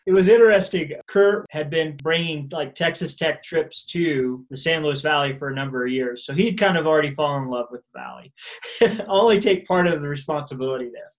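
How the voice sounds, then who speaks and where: A man hosting a podcast interview, friendly and quick to laugh along. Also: on the high side. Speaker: podcast host. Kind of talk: conversational interview.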